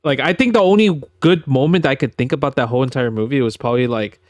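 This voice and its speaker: A man's voice: mid-range voice, fast, no room sound, clean studio sound. A man hosting a podcast, chatting casually in a slightly hesitant way and repeating his phrases. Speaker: podcast host. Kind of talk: casual chat.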